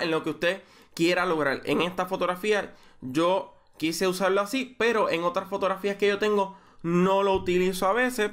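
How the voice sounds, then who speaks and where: A young man with a Puerto Rican accent explaining a photo-editing tutorial, measured and full of small fillers and restarts. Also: high-pitched, a bit bright. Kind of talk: screen-recorded software tutorial.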